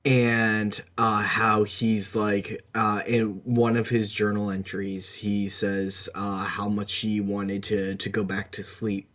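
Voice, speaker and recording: Young man, lower voice, slow pace, hesitant delivery, casual personal podcast talk. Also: flat delivery, fairly bright tone. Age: young adult. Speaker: podcast co-host.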